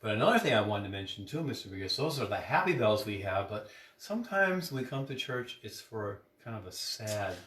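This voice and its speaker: A man's voice: fairly low pitch, very animated, fairly quick, hardly any pauses, a little hiss or hum. A man hosting as a priest, teaching in an informal, conversational way with plain, simple explanations. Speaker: priest, storytime host. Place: inside a church, roomy. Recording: echoey room, distant mic, loud music behind.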